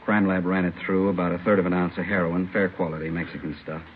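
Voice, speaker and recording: An actor playing a plain-spoken, grave police narcotics captain, brisk and businesslike, in a 1950s radio drama. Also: male voice, low-pitched, little pitch movement, lightly acted. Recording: muffled old radio recording, faint noise.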